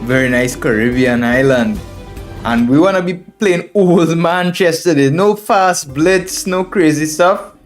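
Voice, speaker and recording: A man's voice: fairly high pitch, unhurried. A man with a Trinidadian accent giving live game commentary as an instructor, thinking aloud, repeating phrases and addressing viewers as friends. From Trinidad and Tobago. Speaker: chess streamer and instructor. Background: background music.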